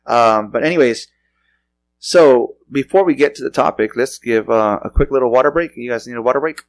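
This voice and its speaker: A man's voice: medium pitch, a little fast, poor audio. A middle-aged man hosting a podcast, chatting loosely and rambling through stories with many restarts. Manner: loose rambling storytelling with frequent restarts and laughs. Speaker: podcast host. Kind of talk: casual chat.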